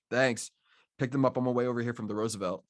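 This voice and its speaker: An actor playing a laid-back street operative in an audio drama, with teasing, slangy banter. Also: male voice, medium pitch, steady tone, a little fast, natural voice.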